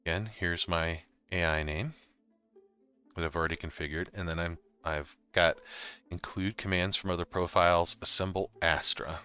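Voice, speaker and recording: A middle-aged man explaining software step by step in a casual, informal tutorial style. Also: low-pitched, steady tone, normal speed, clear, resonant tone, faint music behind. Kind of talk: casual screen-recorded walkthrough.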